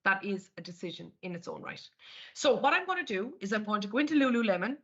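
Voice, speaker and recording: A woman's voice: mid-range voice, expressive, fast talker, a bit bright, husky. A woman with an Irish accent presenting a live market webinar, chatting her way through each screen step by step. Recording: dull lo-fi webinar audio.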